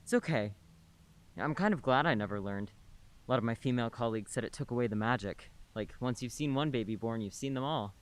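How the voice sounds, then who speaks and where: An actor playing a polite, soft-spoken male nurse in a radio play, a middle-aged neighbor who talks matter-of-factly. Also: mid-range voice, a little fast, close to an everyday voice. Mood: calm, friendly. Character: gentle older male nurse neighbor, married, childless.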